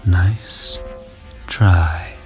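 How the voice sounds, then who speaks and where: A man playing a sadistic, campy alien villain in an audio drama, with theatrical, mocking, drawn-out delivery. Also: low-pitched, fairly even intonation, over music. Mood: smug menace.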